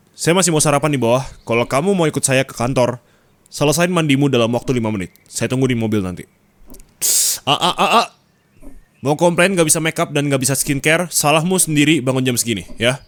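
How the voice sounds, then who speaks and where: A man's voice: medium pitch, lightly acted. A man playing a strict, flirty and possessive male office boss in an intimate ASMR roleplay, commanding and teasing, with questioning tags. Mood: bossy, playful, then scolding.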